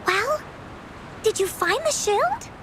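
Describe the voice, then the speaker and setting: A woman voicing a cheerful, excitable young girl adventurer in a video game. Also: very high voice, slow pace, soft background music, full character voice, low-quality recording. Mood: excited. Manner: bubbly and excitable.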